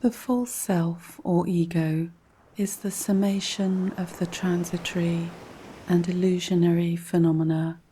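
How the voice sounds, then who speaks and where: Slow, soft woman guiding relaxation. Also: fairly low pitch, slight background noise. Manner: calm, measured, instructional. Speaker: guided meditation narrator. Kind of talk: scripted guided relaxation narration.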